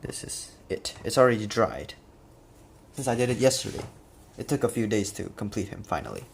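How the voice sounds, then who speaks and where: A man's voice: on the low side, big pitch swings, moderate pace, gravelly, a little hiss or hum. A man casually explaining his custom figure work step by step, with occasional fillers. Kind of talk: casual product walkthrough.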